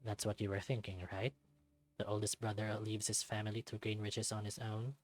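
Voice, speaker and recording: A man voicing a suave, guarded raccoon in a visual novel, soft-spoken and measured, with a bitter edge under the teasing. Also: fairly low pitch, level tone, lightly acted.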